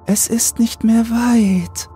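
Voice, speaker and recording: A man voicing an eerie, benevolent, inhuman female being with slow, luring speech in a horror story. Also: extremely high pitch, fairly even intonation, mellow, soft background music, voice pushed up.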